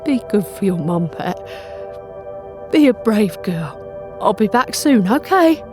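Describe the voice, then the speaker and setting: A woman voicing a gently reassuring, evasive father talking softly to his young daughter in an audio drama. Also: mid-range voice, sing-song, slow pace, over music. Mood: tender.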